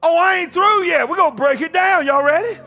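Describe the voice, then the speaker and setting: A man preaches, his voice pitched very high and ringing bright. He moves from conversational teaching into fervent, shouted climaxes, calling out to his congregation. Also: fairly quick, faint music behind. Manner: impassioned preaching, shouting at peaks. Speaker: pastor. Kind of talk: sermon.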